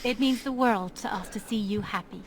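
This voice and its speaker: An actress playing a warm, sensible older elf woman in a fantasy video game. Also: medium pitch, moderate pace, slight background noise, natural voice. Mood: warm, kindly.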